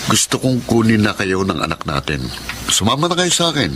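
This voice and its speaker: An actor playing a cocky, pushy ex-lover in a radio drama, with a smug, taunting manner. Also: male voice, on the low side, very animated, fairly quick. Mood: coaxing. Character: cocky, pushy ex-lover returned to reclaim his woman. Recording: noisy radio drama recording.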